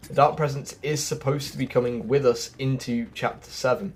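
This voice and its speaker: A man's voice: medium pitch, steady tone, moderate pace, a little hiss or hum. A man giving casual, chatty gaming commentary, addressing his viewers directly as a video host. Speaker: video game news YouTuber. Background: background music.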